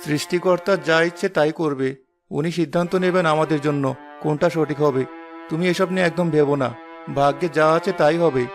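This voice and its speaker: An actor voicing a poor, gentle rickshaw-puller husband in a cartoon, consoling and philosophical. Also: male voice, fairly high pitch, moderate pace, close to an everyday voice. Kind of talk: Bengali cartoon moral-story acting. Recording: music behind the voice.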